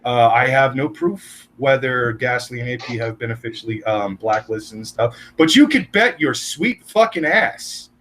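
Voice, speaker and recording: Sharp-voiced man ranting on a stream. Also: medium pitch, expressive, normal speed, few pauses, slight background noise, low-quality recording. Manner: blunt, swears constantly, exasperated rants. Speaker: stream host. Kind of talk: casual profane stream chat.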